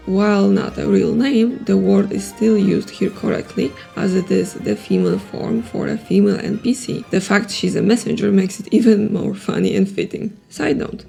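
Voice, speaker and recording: Gravelly woman explaining calmly. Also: mid-range voice. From Poland. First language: Polish. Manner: careful, explanatory, slows down on foreign words. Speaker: game video creator. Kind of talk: scripted explainer narration.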